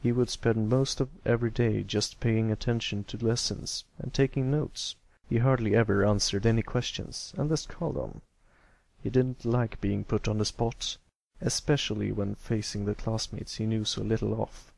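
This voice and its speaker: A man with a gravelly voice reading a scripted story as an amateur narrator. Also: lowish voice, steady tone, moderate pace, a little mellow, no echo. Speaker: amateur audiobook reader.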